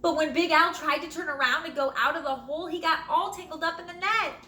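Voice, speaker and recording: Bright-voiced woman reading a storybook aloud. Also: very high voice. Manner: expressive, dramatic storytelling with drawn-out phrases. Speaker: teacher reading to pupils. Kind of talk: animated children's storybook read-aloud. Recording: roomy echo, light background noise.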